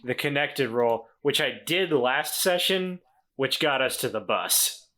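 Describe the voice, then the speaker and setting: A man's voice: medium pitch, expressive, unhurried, pushed and bright. A man chatting casually as a player in a tabletop game.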